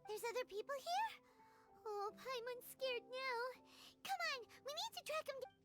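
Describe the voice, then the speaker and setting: A woman's voice: very high voice, sing-song, moderate pace, loud music behind the voice. A woman voicing a small, childlike, sassy and easily scared fairy companion in a video game. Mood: scared, curious. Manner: refers to self in third person, exclaiming.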